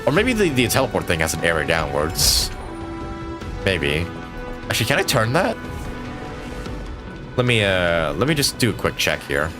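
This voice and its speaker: Low-voiced rambling man streaming a game. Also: wildly swooping pitch, normal speed, pauses often, music in the background. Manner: rambling, thinking aloud. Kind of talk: casual live gameplay commentary.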